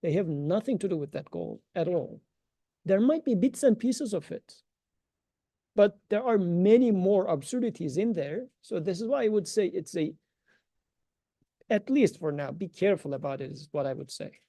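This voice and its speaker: Earnest man teaching in a dark, dry tone. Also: sits high, animated, moderate pace, creaky, no echo. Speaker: religious teacher giving a talk. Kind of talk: informal religious lecture with rhetorical questions.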